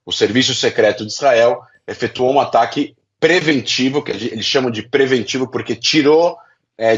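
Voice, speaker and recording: A man's voice: mid-range voice, dull audio. A man with a Brazilian accent giving didactic analyst commentary, laying out his points step by step. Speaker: political analyst, interview guest. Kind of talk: explanatory commentary.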